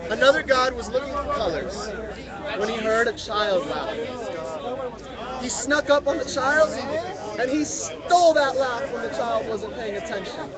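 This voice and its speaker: A man's voice: very high voice, measured pace. A man reading a story aloud to a live group, in an interactive storytelling style. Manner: prompts listeners to fill in words. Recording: outdoor live mobile stream, music and crowd. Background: music, crowd chatter, street sounds.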